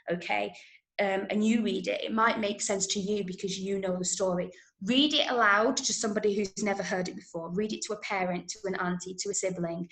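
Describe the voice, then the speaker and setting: A woman from Manchester with an English accent, teaching a script-writing workshop and often checking that listeners follow. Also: mid-range voice. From Manchester, England. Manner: explanatory, checks understanding with questions. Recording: roomy, echoing room sound.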